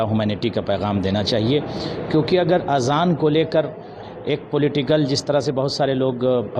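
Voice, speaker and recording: Man speaking over loud street noise. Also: medium pitch, highs cut off. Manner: measured, formal Urdu-laced diction with pauses and some English words. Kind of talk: earnest interview answer in a preaching manner. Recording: noisy outdoor urban street recording with traffic. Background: loud street ambience and vehicles.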